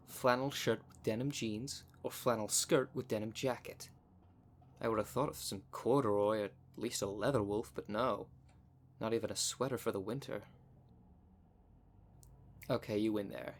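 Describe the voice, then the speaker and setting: A man's voice: mid-range voice, slight background noise, close to an everyday voice. A man voicing a vain, flirtatious, snobbish werecat socialite in a scripted roleplay spoken to the listener, with a pompous, haughty manner. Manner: pompous, haughty, smooth-talking. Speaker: voice actor in audio roleplay.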